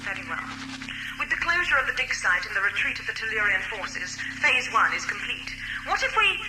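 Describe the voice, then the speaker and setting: A woman acting a commanding, scheming chief in an audio drama. She speaks with imperious impatience and deliberate phrasing, in an echo with music behind. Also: a little high, very animated.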